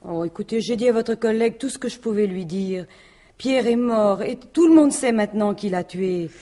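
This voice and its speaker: An actress playing a defensive, jealous French widow in a radio mystery, talking in halting, colloquial phrases. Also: female voice, medium pitch, mellow, lightly acted. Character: defensive, jealous widow, plain-spoken businesswoman. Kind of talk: 1950s-style French radio mystery acting.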